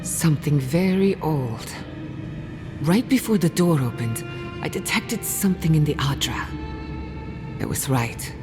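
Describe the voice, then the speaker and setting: A woman's voice: deep voice, lively intonation, unhurried, long pauses. A woman playing a confident, wry, adventurous scholar and guide in a video game, explaining things articulately. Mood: intrigued. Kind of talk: scripted video game acting. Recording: reverberant hall under loud music.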